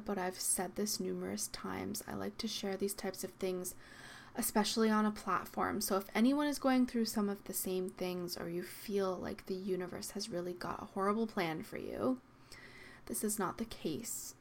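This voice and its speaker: A young woman hosting her own podcast, talking in a soft, reflective way with frequent ums and likes, her voice slightly worn. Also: medium pitch, gravelly, a little hiss or hum. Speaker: podcast host. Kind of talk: solo podcast monologue. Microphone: podcast microphone.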